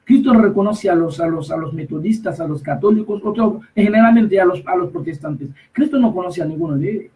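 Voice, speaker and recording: A man with a fairly high voice preaches insistently, repeating himself and breaking off mid-phrase. He stands some distance from the microphone, so his voice sounds set back in the space. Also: mellow. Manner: emphatic, repetitive, halting with restarts. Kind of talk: preaching commentary.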